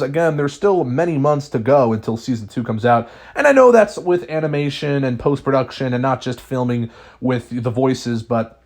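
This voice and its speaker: A young man talks casually to his viewers in a mid-pitched voice. He rambles, circles back with fillers and restarts, and his pitch leaps upward whenever he launches a new thought. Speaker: YouTube host. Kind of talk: casual speculation vlog.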